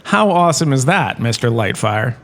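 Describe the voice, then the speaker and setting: A man's voice: medium pitch, sing-song, moderate pace, a bit bright. A man playing an enthusiastic, quirky lecturer, a washed-up star, in a scripted comedic audio drama, chatty and full of showy asides. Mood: genial, showy.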